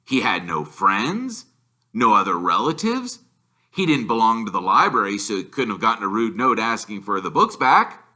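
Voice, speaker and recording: A man reads a storybook aloud like a teacher to a class. His voice is very bright and forceful, with lively swings in pitch. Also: moderate pace. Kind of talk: animated storybook narration.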